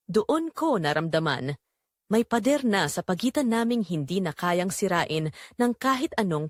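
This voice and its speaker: A woman's voice: on the low side, no echo, studio quality. A woman narrating a scripted first-person drama in an earnest, emotive way.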